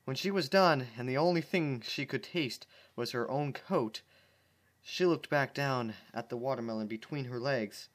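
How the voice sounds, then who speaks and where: A man's voice: medium pitch, moderate pace. A man reading fan fiction aloud at home, breaking off for sarcastic, exasperated commentary. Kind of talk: dramatic reading with comic asides.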